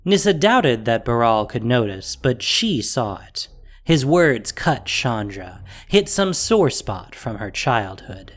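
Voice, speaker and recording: A man's voice: medium pitch, very animated, slow. A man narrating a fantasy audio drama in a scripted, dramatic storytelling style.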